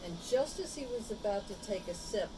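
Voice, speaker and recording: A woman reading a picture book aloud to young children, pausing for simple asides and questions about the pictures. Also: medium pitch, animated, noisy background. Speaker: storybook reader.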